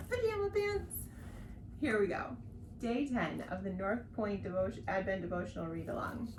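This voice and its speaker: A woman hosting a devotional read-along who reads scripture and reflections aloud in a gentle, earnest way, with light informal asides. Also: mid-range voice, lively intonation, moderate pace. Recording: roomy echo with faint background noise.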